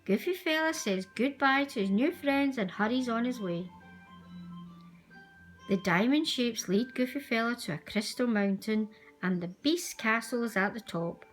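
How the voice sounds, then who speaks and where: A woman reading a children's storybook aloud as a storyteller, with expressive, deliberate delivery. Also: on the high side, faint music behind. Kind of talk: scripted storybook narration for young children.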